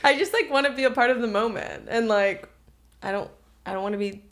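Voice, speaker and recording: A woman's voice: fairly high pitch, fairly quick. A woman co-hosting a casual comedy podcast, candid and profane, arguing her points in a mock-serious way. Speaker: podcast co-host.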